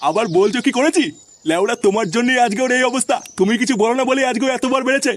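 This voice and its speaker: High-voiced, fast-ranting old grandfather played by a man. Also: background noise. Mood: scolding. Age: older. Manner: scolding, crude ranting. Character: gruff, foul-mouthed, nagging grandfather, hardworking head of household. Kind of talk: comedy cartoon dialogue.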